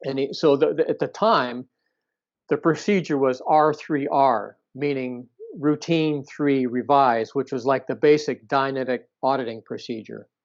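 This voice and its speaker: A man with a medium-pitched voice speaks as an interview guest, recounting past experiences. He talks haltingly, doubling back on words to rephrase them. The recording sounds dull and lo-fi.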